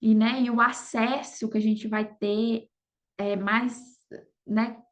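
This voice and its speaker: A woman's voice: fairly high pitch, flat delivery, takes it slowly. A Brazilian woman speaking Portuguese as a podcast guest, telling her story casually and often seeking agreement with little tag questions. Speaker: podcast guest, affiliate marketer. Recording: dull lo-fi audio.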